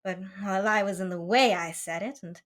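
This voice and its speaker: A woman's voice: mid-range voice, moderate pace, close to an everyday voice. A woman playing an elegant, secretive femme fatale in 1940s radio drama style, hesitant and pleading with a throb in her voice. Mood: grave, evasive.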